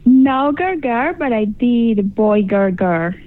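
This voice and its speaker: A woman calling in as a guest, speaking softly and hesitantly in casual chat. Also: a little high, lively intonation, slow pace. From Colombian, Venezuelan and Japanese background. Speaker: phone-in guest. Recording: tinny phone line.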